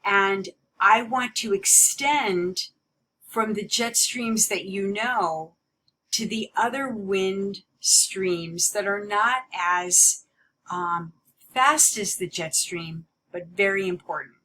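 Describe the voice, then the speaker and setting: A woman giving an explanatory slide lecture as a teacher, stressing key words in a deliberate way. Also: medium pitch, sharp tone, slight background noise, rough recording.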